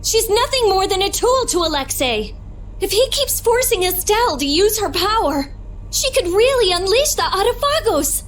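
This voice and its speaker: Teen girl mage, short-tempered and brash, high animated voice for anime game. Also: normal speed, a little hiss or hum, exaggerated voice. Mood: worried.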